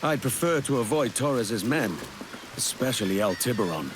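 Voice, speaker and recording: A man acting a battle-worn, stoic pirate captain in a video game, with a terse and low-key way of talking. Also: animated, moderate pace, natural voice. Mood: resolute. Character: gruff, battle-worn adult male pirate captain turned assassin. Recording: loud ambient noise behind the voice.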